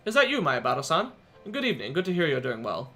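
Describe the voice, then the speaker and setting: A man's voice: on the high side, wildly swooping pitch, quick pace, bright, forceful tone, soft background music, heavily acted. A man voicing a goofy, lighthearted middle-aged man who speaks politely on the phone. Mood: jovial.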